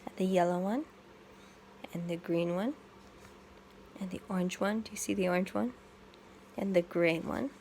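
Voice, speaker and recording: A woman reading a picture book to young children in an expressive way, adding her own asides and questions to the listeners. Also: lowish voice, normal speed, pauses often, slight background noise. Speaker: story-time reader for young children.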